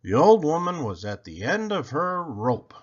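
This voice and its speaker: A man reading a children's picture book aloud expressively, with theatrical, deliberate phrasing, on slightly dull, lo-fi audio. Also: on the high side, very animated.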